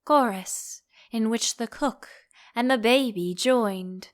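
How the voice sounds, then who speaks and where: A woman narrating a storybook as an audiobook reader, in an expressive style. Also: on the high side, slow pace.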